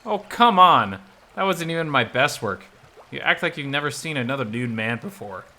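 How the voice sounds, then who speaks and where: A man's voice: medium pitch, expressive, moderate pace, pushed and bright, a little hiss or hum. A man playing a self-assured, teasing male wilderness guide in a visual novel, explaining things in a wry way.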